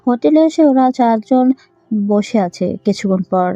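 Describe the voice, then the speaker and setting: A woman's voice: fairly high pitch, lively intonation, moderate pace, mellow, no room sound, soft background music, low-quality recording. A woman narrating a scripted audio story.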